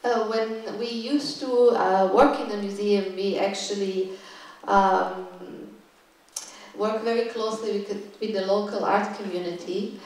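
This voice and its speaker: A woman's voice: mid-range voice, steady tone, a little mellow, far from the mic. Woman lecturing in an echoey room. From Serbia. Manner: halting, thoughtful, stops often to find words. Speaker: guest curator giving a talk. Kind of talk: lecture to a live audience. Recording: echoey room, voice picked up from afar.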